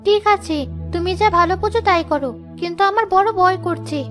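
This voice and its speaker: An anxious young village wife and mother in a cartoon tale, played by a woman. Her voice is extremely high and pleading. Music is clearly heard behind her. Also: moderate pace, a bit bright. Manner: fretful, pleading. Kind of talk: Bengali cartoon moral-tale acting.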